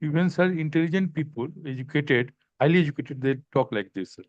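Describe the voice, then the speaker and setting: A man's voice: fairly high pitch, a little fast, darkish tone, no echo. A man asking a spiritual teacher a question, deferential and often adding sir. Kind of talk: online spiritual Q&A. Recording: dry, muffled video-call audio.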